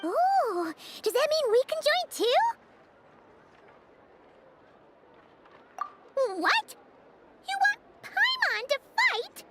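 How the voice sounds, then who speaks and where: A woman voicing a tiny, childlike floating companion in an anime video game, boastful and excitable, with a squeaky manner. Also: slow pace, very noisy, exaggerated voice. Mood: curious, surprised. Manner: squeaky, speaks of self in third person.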